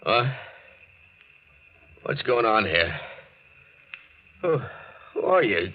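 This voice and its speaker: A man's voice: on the low side, big pitch swings, extremely slow, heavily acted. An actor in 1940s radio style playing a drunken, groggy, self-pitying man who stammers defensively. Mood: groggy, confused. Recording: muffled, noisy old radio broadcast.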